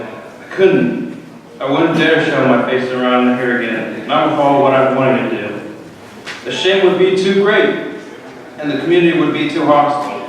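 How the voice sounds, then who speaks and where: A man's voice: medium pitch, slight background noise, natural voice. A man acting brash young son, echoing hall. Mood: sullen, ashamed. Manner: theatrical, exaggerated, emphatic. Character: brash, selfish, restless young prodigal son. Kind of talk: dramatic reading of a parable. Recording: roomy echoing hall, distant microphone.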